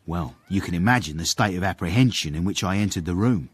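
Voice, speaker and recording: A man's voice: low-pitched, expressive, quick pace, background noise. An actor playing a wry, deferential English footman and secret author, narrating and acting in a radio comedy-drama. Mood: apprehensive narration.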